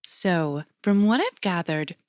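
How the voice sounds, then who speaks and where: A polished woman interviewer with a slow delivery and a very animated, swooping pitch. She is overly pleasant and faintly condescending, in the style of an audio drama. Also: no room sound, studio quality. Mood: condescending, probing. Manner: polished, measured, patronizing.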